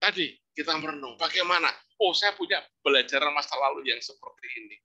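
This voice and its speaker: A man, a psychology professor telling family stories in an informal lecture with lively rising emphasis and religious exclamations. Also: on the high side, fast, dull audio. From Indonesia. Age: 60s. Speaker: psychology professor giving a talk.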